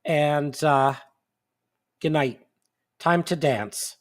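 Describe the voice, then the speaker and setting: A man hosts a monthly live stream from what sounds like a home desk setup, talking slowly and loosely with plenty of fillers and restarts. He is sniffly, and his voice sits a little high for a man. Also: music in the background. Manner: rambling, with many fillers and restarts. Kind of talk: casual live-stream chat.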